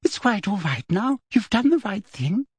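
A sugary, silky-voiced woman official, soft and simpering but cold beneath the smile, as a man puts her on in a high, lilting voice. Her tones float well above the reader's own, dry and close, with a husky edge. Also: very animated, normal speed, no room sound, heavily acted. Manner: silky, falsely sweet. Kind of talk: audiobook character voice.

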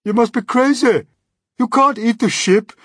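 A man's voice: extremely high pitch, unhurried, a bit bright, breathy edge, no room sound, studio quality, voice pushed up, exaggerated voice. A man voicing a gloomy, irritable blind earthworm who complains melodramatically in a storybook reading. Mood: alarmed. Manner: melodramatic, indignant, argumentative.

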